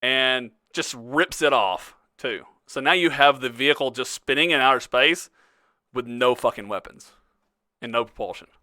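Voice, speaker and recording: A man's voice: medium pitch, normal speed, bright, forceful tone, no room sound, studio quality. A man running a tabletop game as game master, swearing casually and laughing often.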